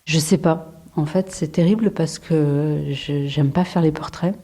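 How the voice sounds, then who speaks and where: A woman's voice: low voice, steady tone, a little slow, warm tone. Hesitant French woman in her forties. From France. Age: 40s. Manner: hesitant, many fillers and restarts. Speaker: photographer, interview guest. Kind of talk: reflective interview answers.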